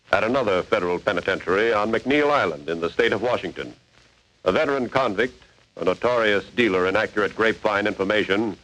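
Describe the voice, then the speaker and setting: A grave narrator of a 1940s radio crime show. His voice sits low and he delivers the lines dramatically. The sound is that of an old broadcast recording. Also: fairly bright tone, low-quality recording.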